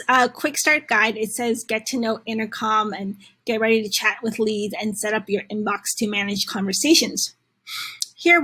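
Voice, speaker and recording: A woman presenting a step-by-step software tutorial in a conversational way, with halting phrasing and frequent pauses. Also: fairly high pitch, husky.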